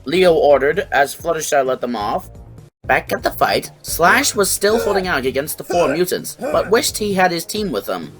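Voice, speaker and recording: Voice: mid-range voice, big pitch swings, normal speed, a bit bright, faint music behind. A narrator reading a scripted fan fiction story.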